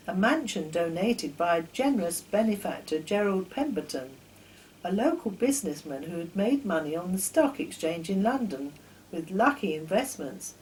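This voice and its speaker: An older woman reading a children's story aloud in an expressive, deliberate storytelling manner. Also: medium pitch, slight background noise, rough recording. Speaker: author reading her own children's stories. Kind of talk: storybook narration.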